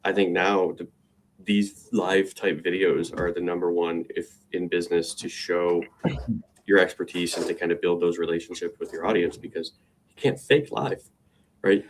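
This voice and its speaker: A man's voice: low voice, fairly even intonation, moderate pace, a little hiss or hum, poor audio. A man co-hosting a business podcast, chatting in an upbeat, loose way with lots of fillers and restarts. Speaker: podcast co-host. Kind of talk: casual chat.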